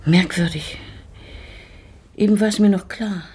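An actress playing a pious widowed lady in a German radio play, brooding and searching her memory with halting, formal diction and a breathy voice. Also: mid-range voice, a little mellow. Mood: searching, anguished.

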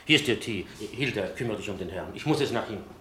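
An actor in a radio play as a courteous, secretive innkeeper, formal and obliging with theatrical intonation. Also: male voice, lowish voice, lively intonation, fast, a bit bright. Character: courteous, secretive male café landlord. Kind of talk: German radio play acting. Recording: echoey room, faint background noise.

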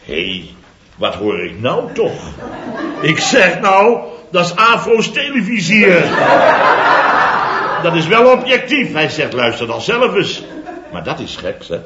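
A man's voice: fairly high pitch, noisy background. An actor playing a pompous, self-important middle-aged construction company boss who chuckles through his own boastful stories, in 1960s radio comedy style. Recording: dull roomy old radio recording.

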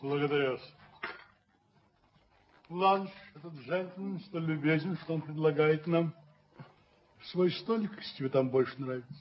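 A man's voice: on the high side, unhurried, long pauses. An actor playing a stately, self-important, middle-aged father in a radio play, speaking with formal, commanding courtesy. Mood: formal, courteous. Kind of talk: theatrical radio drama acting. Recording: dull, noisy 1970s radio recording.

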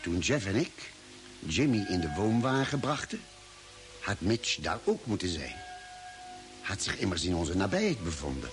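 An actor playing a composed ship's doctor who narrates a Dutch radio drama in a dramatic, scripted style. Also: male voice, low voice, very animated, moderate pace, long pauses. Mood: composed, suspenseful. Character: composed male ship's doctor recounting the expedition. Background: music.

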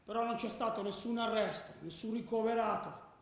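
A man's voice: high voice, brisk. A male actor playing a combative football ultra, recounting fights urgently and emphatically in a radio drama. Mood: defiant. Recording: echoey, distant, noisy room.

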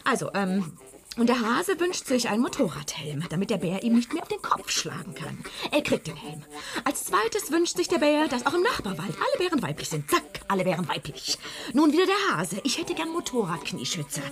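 A sardonic, fed-up wife in a radio drama. Her voice sits fairly high and moves in lively swoops, with biting, quietly defiant delivery. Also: normal speed. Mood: sardonic storytelling. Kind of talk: German radio play acting.

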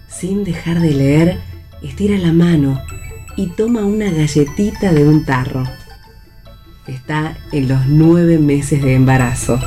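A woman with an Argentine accent reading scripted stage directions for a radio play in a measured, descriptive manner. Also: low voice, mellow.

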